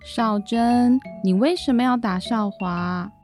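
A woman's voice: a little high, slow pace, a little mellow, faint music behind. A woman acting a weary, placating mother in a children's radio drama. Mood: exasperated. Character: mother refereeing her daughters, weary and placating.

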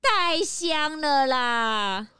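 A woman's voice: high voice, expressive, drawn-out words, bright, forceful tone, no room sound, studio quality, full character voice. A woman playing a hungry, eager young detective's sidekick in a children's audio drama, childlike and exclamatory. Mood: impatient, whiny.

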